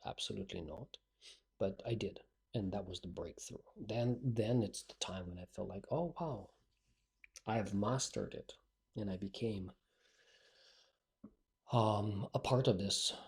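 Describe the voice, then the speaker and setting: Gravelly-voiced blunt male coach. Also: fairly low pitch, a little mellow. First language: not English. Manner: blunt, direct, persuasive. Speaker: English speaking coach. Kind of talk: solo podcast monologue.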